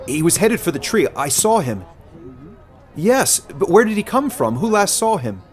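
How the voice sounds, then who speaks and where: Man acting frantic villager, high urgent shouts. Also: big pitch swings, normal speed. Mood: panicked. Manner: urgent, raised voice. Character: frantic village men shouting over an intruder.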